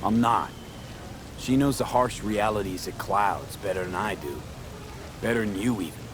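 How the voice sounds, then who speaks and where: An actor playing a hardened mercenary, calm, dry and guarded, in understated video game dialogue. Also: male voice, fairly low pitch, moderate pace, background noise, close to an everyday voice. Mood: guarded, defensive. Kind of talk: video game cutscene acting, naturalistic dialogue.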